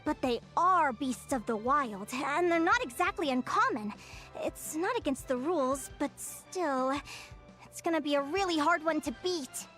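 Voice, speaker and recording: An excitable young girl battler from an anime-style game. Her voice is extremely high and very animated, with measured, drawn-out exclamations. She is earnest and dramatic throughout. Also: faint music behind. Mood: surprised, wary. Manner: exclamatory, theatrical.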